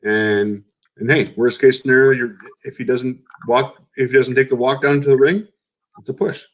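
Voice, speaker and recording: A man's voice: mid-range voice, steady tone, normal speed, lo-fi sound. A man co-hosting a casual betting show, confidently giving his picks and repeating his main points. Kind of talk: casual chat.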